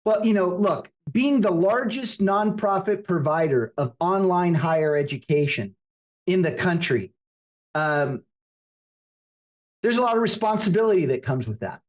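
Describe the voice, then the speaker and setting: Lively, emphatic podcast host man. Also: sits high, measured pace, reverberant. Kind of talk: scripted intro then interview questions.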